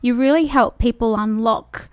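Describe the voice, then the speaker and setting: A woman's voice: a little high, normal speed, a little mellow, clean tone, no echo. A woman hosting a podcast, giving supportive murmurs of agreement in a casual interview. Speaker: podcast host.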